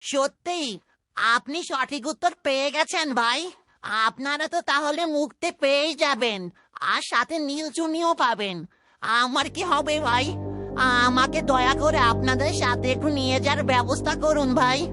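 A woman's voice: sits high, normal speed, sharp tone, dry recording, studio quality, faint music behind. A woman voicing a skinny, cowardly, boastful male bandit in a cartoon, wordy and blustering. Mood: pleading. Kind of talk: cartoon voice acting.

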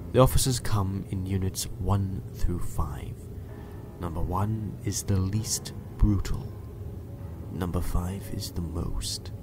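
Actor as low-voiced, coldly menacing doctor. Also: male voice. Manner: condescending, measured, at times hushed. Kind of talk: dystopian audio drama.

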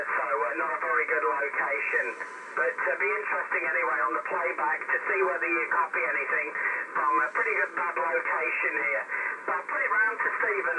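A man talking casually as a ham operator over a mobile radio. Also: fairly high pitch. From England. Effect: mobile radio transmission. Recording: very noisy distant mobile radio signal.

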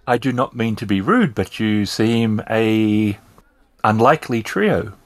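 A man's voice: on the low side, expressive, a little slow, no room sound. A man voicing a courteous, humble young woman who performs on the street in a tabletop game. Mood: polite, curious. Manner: formal, courteous. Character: pretty, mischievous young female street performer, polite and humble.

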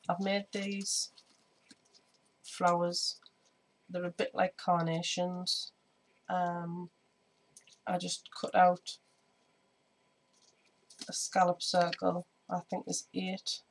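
A woman with a slightly croaky voice, chatting casually through a scrapbooking tutorial with lots of ums while she works at a craft table. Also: lowish voice. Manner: chatty, many ums, hands busy while talking. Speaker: scrapbooking vlogger. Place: indoor home craft table. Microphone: overhead camera on desk, speaker off screen.

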